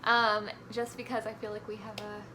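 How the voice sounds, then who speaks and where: A woman's voice: medium pitch, flat delivery, moderate pace, crowd noise, low-quality recording. A woman speaking as a guest on a book vlog, chatting in an informal, tentative way. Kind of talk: casual book chat.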